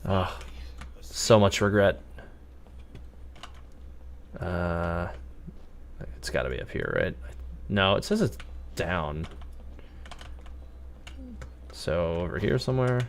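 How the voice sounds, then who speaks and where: Low-voiced man chatting through a game stream. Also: expressive, slow pace, long pauses, slight background noise. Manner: chatty, thinking aloud. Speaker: game livestreamer. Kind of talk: casual gameplay commentary. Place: indoors at a computer.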